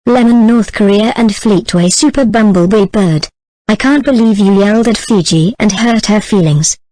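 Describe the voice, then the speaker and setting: A woman's voice: medium pitch, normal speed, mellow, no room sound, lightly acted, rough recording. A text-to-speech woman voicing a stern scolding woman.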